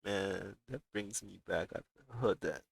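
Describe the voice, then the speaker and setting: A man's voice: fairly low pitch, takes it slowly, creaky, slightly rough, no room sound, low-quality recording. A young man chatting casually and animatedly as he livestreams a video game. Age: young adult. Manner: animated, chatty, reacting to gameplay. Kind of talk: casual livestream chat while playing. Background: video game music.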